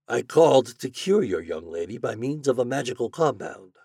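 A man voicing a poor but proud glassblower in a storybook, in a voice close to his own low speaking voice. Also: very animated, moderate pace, a little mellow, husky, dry recording. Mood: earnest.

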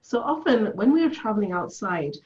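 Woman coach guest from Ethiopia, soft-spoken and reflective, mid pitch, dull recording. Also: very animated, fairly quick. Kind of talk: conversational interview.

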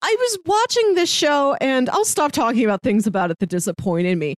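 High-voiced woman chatting on a podcast. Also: expressive, brisk, no room sound, studio quality. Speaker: podcast co-host. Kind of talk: casual discussion of a TV series.

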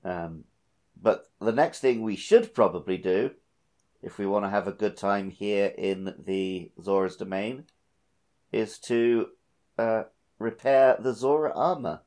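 Man, fairly low pitch, casual podcast co-host, thinking aloud, gaming discussion. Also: unhurried, darkish tone. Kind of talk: casual discussion of a video game.

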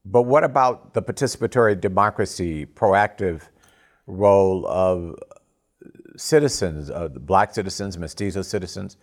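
A man interviewing as a podcast host, putting questions in halting, emphatic short phrases. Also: deep voice.

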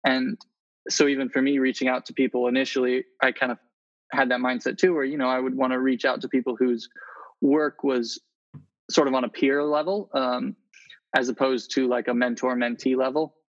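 A man's voice: medium pitch, level tone, moderate pace. A man speaking earnestly in a casual, conversational interview as a guest. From Indonesia and the Philippines. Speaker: interview guest, travel photographer. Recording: slightly dull, lo-fi audio.

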